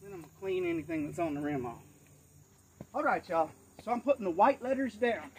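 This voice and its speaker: High-pitched American man, folksy tutorial talk. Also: big pitch swings, a little fast, rough recording. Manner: folksy, instructional, talking while working with his hands. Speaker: do-it-yourself demonstrator. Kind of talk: casual hands-on tutorial. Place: outdoors in a cluttered rural yard. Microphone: camera a few feet away. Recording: outdoor camera audio, faint insect noise.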